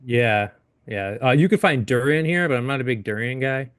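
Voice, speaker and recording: A man in his late thirties, a guest in a casual interview, talking in a halting way with frequent restarts and easy laughs. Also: medium pitch, animated, no room sound, studio quality. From Upstate New York. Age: 30s.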